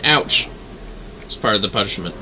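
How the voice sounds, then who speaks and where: A man's voice: fairly low pitch, expressive, normal speed, bright, forceful tone, a little hiss or hum. A man casually chatting as he streams a fantasy online game, musing playfully to himself. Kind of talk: casual gameplay livestream chat.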